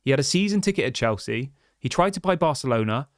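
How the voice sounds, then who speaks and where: A man's voice: medium pitch, expressive, quick pace, no room sound. Passionate ranting man on a dry mic. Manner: passionate, ranting, many restarts and rhetorical questions. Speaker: football fan channel host. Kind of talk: livestream rant reading viewer chats.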